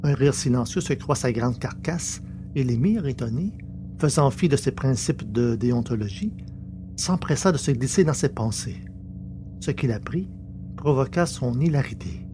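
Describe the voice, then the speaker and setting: A man's voice: medium pitch, lively intonation, moderate pace. Male story narrator, noisy humming recording. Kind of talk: scripted literary narration of science fiction. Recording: clearly noisy background with hum.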